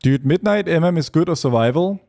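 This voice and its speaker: A man from Europe streaming a game, reading chat aloud and answering it between quick asides about his play. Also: a little high, animated, warm tone. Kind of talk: casual gaming stream chat.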